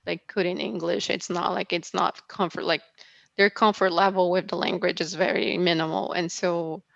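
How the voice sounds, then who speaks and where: A woman's voice: on the low side, steady tone, moderate pace, vocal fry, no room sound, highs cut off. A woman from Brazil, not a native English speaker, answering questions after her talk in a casual, hesitant way with many fillers. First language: Portuguese. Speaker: guest speaker.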